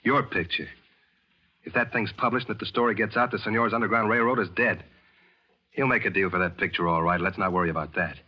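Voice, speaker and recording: A man's voice: lowish voice, fast talker, lightly acted. An actor playing a cool, sardonic American tough guy in a 1940s radio thriller, talking in terse slang. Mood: sardonic, brazen. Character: hard-boiled American man bluffing villains, cool and sardonic. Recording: muffled old-time radio recording.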